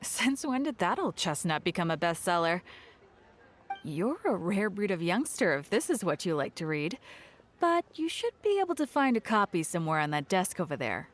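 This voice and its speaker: A man's voice: sits high, sing-song, moderate pace, a bit bright, a little hiss or hum. A man playing a dryly brusque older bookseller in a video game. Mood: dry, mildly amused. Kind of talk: video game character dialogue.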